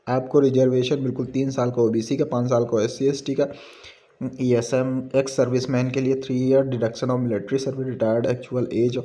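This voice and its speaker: An Indian man with a soft, mellow voice walks through an official notice in an informal way. His tone is relaxed and his pitch sits mid-range. He reads phrases out haltingly, then explains them plainly. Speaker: presenter of a job recruitment explainer.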